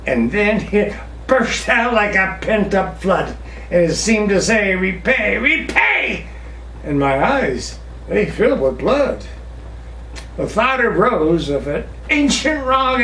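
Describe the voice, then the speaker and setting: A man reciting a narrative ballad in a theatrical, expressive storytelling manner with drawn-out pauses. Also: high-pitched. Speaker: poetry reciter. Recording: roomy, distant mic with faint noise.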